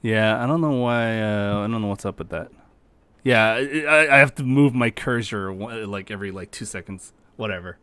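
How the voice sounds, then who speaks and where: A man's voice: lowish voice, moderate pace, pushed and bright, smooth, dry recording. A man hosting casual reaction commentary, explaining game characters in an easygoing way. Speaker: host presenting game characters.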